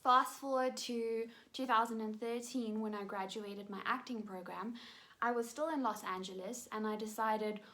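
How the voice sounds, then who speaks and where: A bright-toned young woman from South Africa talks straight to camera in a loose, chatty vlog style. She rambles through her story with quick bursts and plenty of fillers, her voice crisp and lively. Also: fairly high pitch. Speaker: actress and vlogger.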